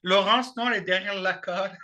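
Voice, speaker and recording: Un homme au timbre éclatant et aigu intervient en quelques mots, de façon détendue. Il parle au téléphone pendant une réunion en ligne. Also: male voice, sits high, steady tone, brisk, sharp tone. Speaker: group participant. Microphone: phone.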